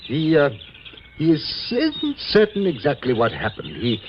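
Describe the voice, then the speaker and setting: Careful, formal doctor, 1950s radio style; mid-pitched, very animated, hesitant, muffled vintage sound with slight noise. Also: male voice, unhurried. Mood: grave, hesitant. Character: earnest, formal male doctor on a South Sea island. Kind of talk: 1950s radio drama acting. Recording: muffled old radio recording, faint noise.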